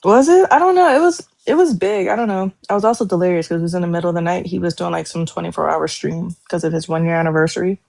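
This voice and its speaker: A woman chatting casually on a livestream, talkative and quick to apologize. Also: lowish voice, gravelly, darkish tone, dry recording.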